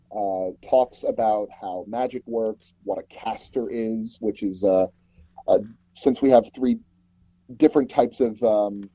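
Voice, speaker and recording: Low, husky, mellow-voiced man. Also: no room sound, highs cut off. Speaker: interview guest, audio drama producer. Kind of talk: casual interview talk.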